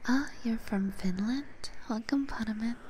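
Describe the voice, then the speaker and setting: A woman whose first language is Hungarian, whispering softly to her viewers in an ASMR chat stream on a binaural mic, halting now and then with fillers. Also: on the high side, a little hiss or hum. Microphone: binaural microphone.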